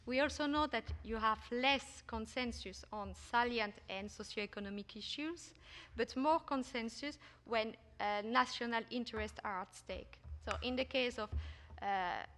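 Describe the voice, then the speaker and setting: A woman gives a conference talk on her research. Her voice sits a little high, and she pauses often on fillers and restarts her sentences. The sound rings with the long reverb of a large hall. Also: fairly bright tone. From Belgium. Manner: hesitant, frequent "uh" fillers and restarts. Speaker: researcher presenting a paper.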